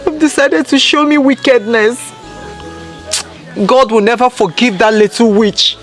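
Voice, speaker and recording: A woman's voice: fairly high pitch, unhurried, faint music behind, natural voice. An actress with a Nigerian accent playing a bitter, self-pitying single woman in a melodramatic film, lamenting in repeated wails. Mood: bitter, aggrieved. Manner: wailing, repetitive lamenting.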